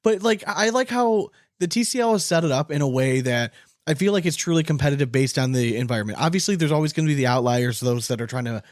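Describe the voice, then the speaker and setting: A man's voice: a little high, animated, fast, no echo, clean studio sound. An American man hosting a podcast, chatting casually and frankly with informal check-in phrases. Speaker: podcast host. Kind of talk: casual opinionated chat.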